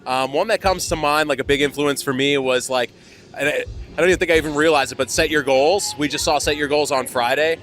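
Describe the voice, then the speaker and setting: A man's voice: fairly high pitch, fairly quick, a bit bright. A man from New Jersey, a band's guitarist, answering interview questions in an easygoing way full of "like" and "um" at an outdoor festival. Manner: easygoing, filler-heavy. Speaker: band guitarist.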